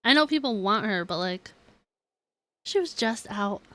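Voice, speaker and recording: A woman's voice: medium pitch, expressive, measured pace, a bit bright. A woman streaming a game, chatting casually in a playful, giggly way. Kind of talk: casual livestream chat over gameplay. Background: faint game music.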